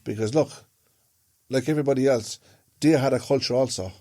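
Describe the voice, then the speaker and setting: A man's voice: medium pitch, brisk, warm tone. An Irishman co-hosting a podcast in casual conversation, telling things in a rambling way and repeating phrases for emphasis. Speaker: podcast co-host.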